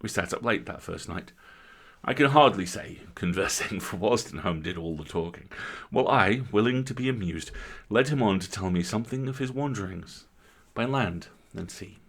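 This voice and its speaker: A man reading a literary story aloud as a dramatic narrator, with theatrical, emphatic phrasing and deliberate pauses. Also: lowish voice, expressive, fairly bright tone, husky. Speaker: story reader, host.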